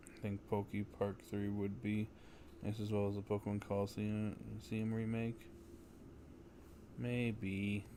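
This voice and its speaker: A man's voice: fairly low pitch, monotone, measured pace, long pauses, background noise. A man livestreaming a video game, chatting casually in an offhand, musing way. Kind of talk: casual livestream chat and commentary. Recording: loud game music behind. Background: game music.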